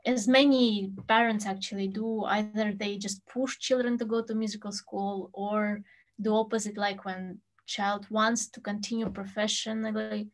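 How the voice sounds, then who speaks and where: A woman's voice: medium pitch, fairly even intonation, moderate pace, rough recording. Tatar-speaking woman pianist in an interview. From Russia. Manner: non-native English, thoughtful, laughs easily. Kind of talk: interview answers. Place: home room with a piano, indoors.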